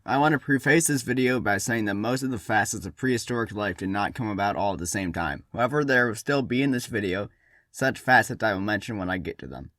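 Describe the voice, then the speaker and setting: Male comedic history host, mid-pitched, scripted explainer delivery with wry asides, recorded very dry. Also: fairly bright tone, dry recording. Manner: wry, tongue-in-cheek asides. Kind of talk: scripted narration, humorous explainer.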